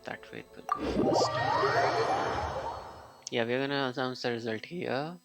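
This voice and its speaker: Man, gravelly, casual livestream commentary, halting, thinking aloud, loud game music behind him. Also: mid-range voice. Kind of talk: casual live gameplay commentary.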